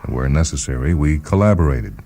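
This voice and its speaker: Very deep, mellow male narrator. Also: animated, a little fast, soft background music. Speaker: short story reader, narrator. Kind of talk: dramatic first-person scripted narration with character voices.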